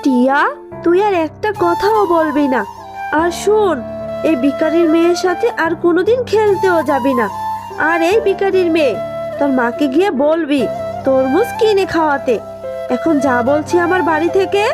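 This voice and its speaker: A woman's voice: very high-pitched, animated, normal speed, music in the background. A woman voicing a spiteful rich tigress mother in a fairytale cartoon, scornful and bossy. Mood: scornful, angry. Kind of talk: Bengali fairytale cartoon dubbing.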